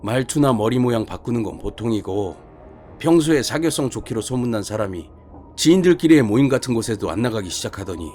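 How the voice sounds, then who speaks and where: Man acting as a gruff, blunt senior detective. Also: mid-range voice, expressive, brisk, darkish tone, soft background music, lightly acted. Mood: gossipy, animated. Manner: colloquial, emphatic, scolding storyteller. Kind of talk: dramatized horror short story reading.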